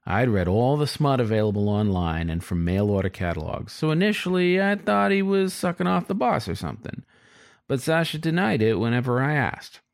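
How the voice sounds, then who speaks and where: A man's voice: medium pitch, sing-song, fairly quick, creaky. A man voicing a sly, frustrated male fox who narrates his story in a wry, confiding, colloquial way, as in an audiobook. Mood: resigned, frustrated.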